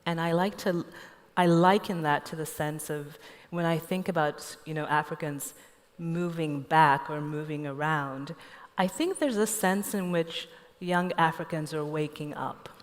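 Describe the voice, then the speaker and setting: Panelist: woman from Nigeria, low voice, thoughtful, recorded in a reverberant hall. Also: fairly even intonation, unhurried. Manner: reflective, storytelling. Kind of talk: panel discussion. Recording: echoey hall.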